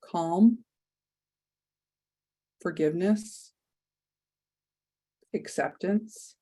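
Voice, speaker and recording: A woman leading a guided meditation, speaking softly and soothingly with long pauses. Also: on the low side, breathy edge, low-quality recording.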